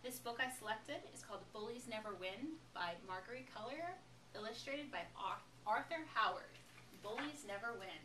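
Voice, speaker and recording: Bright high-voiced woman reading a storybook. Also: animated, moderate pace. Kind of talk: expressive children's picture book read-aloud. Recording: echoey, noisy room, distant microphone.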